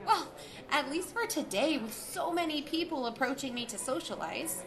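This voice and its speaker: An actress plays a sociable aunt in a conversational audio drama. Her high voice moves in lively swings. She is chatty and a little flustered. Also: moderate pace, vocal fry, a crowd in the background. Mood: flustered, polite. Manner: animated, chatty. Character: sociable, flustered aunt covertly looking around.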